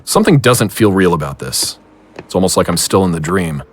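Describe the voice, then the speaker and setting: A man's voice: low voice, animated, a little fast, a little hiss or hum. A man acting a weary but determined investigator in an audio drama, with a wry manner. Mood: wondering. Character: weary, determined male investigator of strange happenings.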